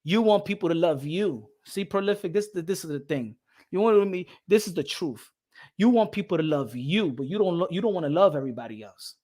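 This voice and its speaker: A man's voice: high voice, brisk. A man from the Dominican Republic hosting a boxing podcast, ranting heatedly on a live stream, reading chat, mimicking others and swearing. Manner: excitable, swears, mimics others, switches into Spanish.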